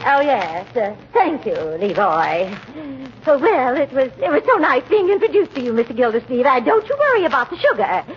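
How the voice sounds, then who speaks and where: An actress playing a coy, nosy spinster in a 1940s radio comedy, with a gushing, fluttery manner. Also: female voice, high voice, big pitch swings, moderate pace. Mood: flirtatious, flustered. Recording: muffled, noisy old radio recording.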